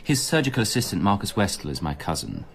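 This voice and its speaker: A man's voice: on the low side, wildly swooping pitch, fast talker, creaky, heavily acted. An actor playing a gossipy, aggrieved, self-entitled man in a radio drama. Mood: peevish. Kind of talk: British radio drama acting.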